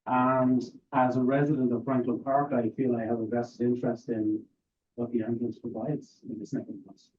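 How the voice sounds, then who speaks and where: A man's voice: medium pitch, little pitch movement, a little hiss or hum. Irish man in his forties, courteous applicant, far from the mic on a tinny line. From Ireland. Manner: measured and courteous. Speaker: board applicant. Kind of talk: formal interview answers. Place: council meeting room. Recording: tinny, distant council-room audio.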